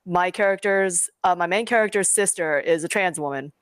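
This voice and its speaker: A woman's voice: fairly low pitch, moderate pace, smooth, dry recording. A woman webcomic creator talking informally and emphatically in a casual panel discussion, giving her opinions.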